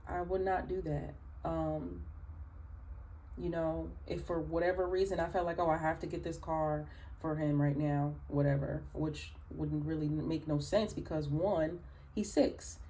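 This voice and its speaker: A woman with a raspy early-morning voice, chatting through a tarot reading with many fillers and asides. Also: sits low, fairly even intonation, unhurried, mellow, rough recording. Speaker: tarot reader. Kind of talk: casual spoken tarot reading.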